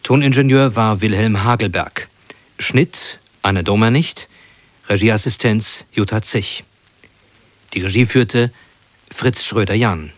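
A man working as a radio announcer, giving a scripted presentation in formal, measured phrases. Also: lowish voice, dry recording. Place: dry radio studio.

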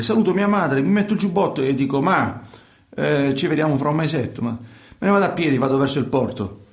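A man playing a grumbling, restless young emigrant in a radio theatre monologue, colloquial and halting. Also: a little high, close to an everyday voice. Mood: agitated, grumbling. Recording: roomy, echoing sound.

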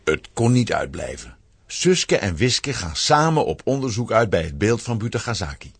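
A male narrator telling a children's adventure tale with a Flemish accent. His lowish, husky voice moves with a wide, expressive range. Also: normal speed, no echo. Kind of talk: scripted storytelling narration for a children's audio drama.

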